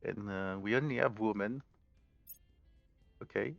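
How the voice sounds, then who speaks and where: Low-pitched male let's play host with a mixed French and Spanish accent, walking through choices out loud, on a dull, dry mic. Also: measured pace, creaky, no room sound, soft background music. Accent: mixed French, Spanish and Galician. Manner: thinking aloud, explaining step by step. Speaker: gaming channel host.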